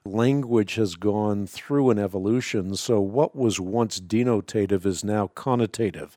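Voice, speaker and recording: A man whose first language is Dutch, answering a caller on a radio show in a measured, authoritative way. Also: on the low side, warm tone, dry recording, studio quality. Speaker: radio Bible-answer host.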